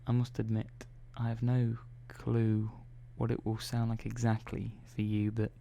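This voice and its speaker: Man voicing a calm robot engineer, ASMR roleplay, dark mellow tone, flat delivery, hesitant pauses. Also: medium pitch, vocal fry, slight background noise. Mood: reassuring. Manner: soft-spoken, slow and hesitant, many pauses and "well"s. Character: calm male robot engineer, methodical and secretive.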